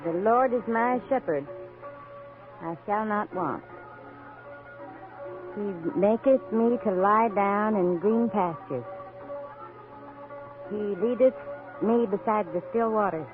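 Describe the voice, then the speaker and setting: An actress playing a gentle, devout saloon girl, speaking softly and solemnly in a 1950s radio Western. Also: mid-range voice, slow, long pauses, music in the background, natural voice. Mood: solemn, prayerful. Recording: thin, narrow vintage radio broadcast.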